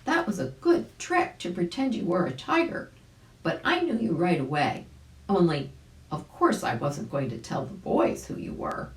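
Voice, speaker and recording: Woman voicing a chatty, lively storybook dog. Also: on the low side, moderate pace, voice pushed up. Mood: grateful, chatty. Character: grateful, chatty male dog companion. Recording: roomy home recording.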